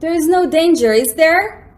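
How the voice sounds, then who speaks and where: Woman playing an impressionable young lady, high-pitched, dramatic. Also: unhurried, darkish tone. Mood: anxious questioning. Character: anxious, impressionable young woman afraid of the dark. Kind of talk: stage-like radio drama acting.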